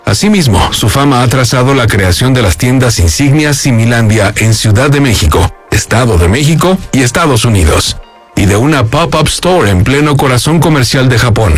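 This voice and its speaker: A man's voice: mid-range voice, animated, moderate pace. A man with a Mexican accent reading scripted narration for a promotional video. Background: background music.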